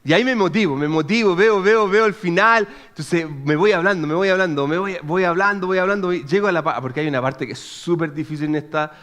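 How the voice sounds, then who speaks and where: A Chilean man in his thirties preaches with a lively, chatty delivery. His voice sits high for a man, and he keeps checking in with his listeners. He tells a personal story in a conversational way. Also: fast talker, few pauses. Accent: Chilean Spanish. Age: 30s. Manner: chatty and animated, frequent restarts and "¿ok?" check-ins. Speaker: pastor.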